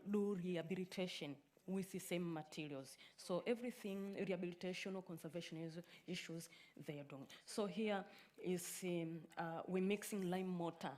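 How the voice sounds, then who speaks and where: A woman from Tanzania whose first language is Swahili, giving a conference talk in a halting way with many filler sounds. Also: fairly low pitch, steady tone, darkish tone. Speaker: conference speaker. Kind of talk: conference presentation.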